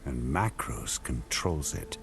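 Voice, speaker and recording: A man playing a gruff, stern warrior commander in a video game, speaking in curt, commanding phrases. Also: a bass voice, sing-song, takes it slowly, noisy background, full character voice. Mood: pensive. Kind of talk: dramatic video game acting. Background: music.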